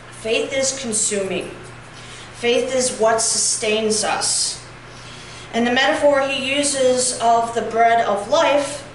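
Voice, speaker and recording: A middle-aged woman pastor preaching a reflective, measured sermon at a pulpit, heard from a far camera. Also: sits high, fairly bright tone, a little hiss or hum. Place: church sanctuary, at a pulpit. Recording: very echoey church from a distance.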